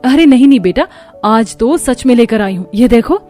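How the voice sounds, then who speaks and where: A woman's voice: fairly high pitch, expressive, moderate pace, dark tone, faint music behind, natural voice. A woman voicing a kind, humble, hardworking young village mother in a cartoon. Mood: gentle, excited.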